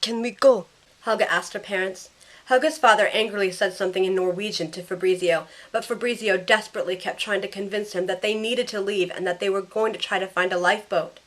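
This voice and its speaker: A woman acts out a caring young heroine telling her own story in an audio drama. It is scripted first-person narration. Her voice has a medium pitch. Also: fairly even intonation, fairly quick, a bit bright, lightly acted, rough recording. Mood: tense narration.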